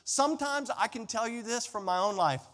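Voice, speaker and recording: A man's voice: very high-pitched, moderate pace, fairly bright tone. A man preaching a sermon as a pastor, speaking emphatically with folksy direct address and repeated phrases. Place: large indoor room, preaching to a congregation. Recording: reverberant hall with long echo.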